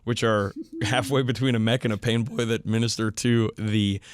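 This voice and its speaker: A man with a fairly low voice hosts a casual hobby discussion. He explains details with geeky enthusiasm, and the sound is close and dry. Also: fairly even intonation, moderate pace, dry recording. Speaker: podcast host.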